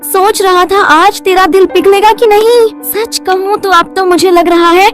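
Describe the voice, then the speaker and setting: A woman's voice: very high voice, fairly even intonation, unhurried, soft background music. A woman voicing a talking male donkey in a children's cartoon, cheeky and comically dramatic. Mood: teasing, cheerful. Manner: comically dramatic, familiar banter. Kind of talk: children's cartoon story voice acting.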